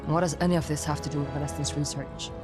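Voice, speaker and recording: An actress playing a tough, guarded young warrior in a video game, blunt and impatient, with a dry, no-nonsense delivery. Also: female voice, low voice, steady tone, rapid-fire, close to an everyday voice. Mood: demanding. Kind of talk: cinematic video game acting. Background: background music.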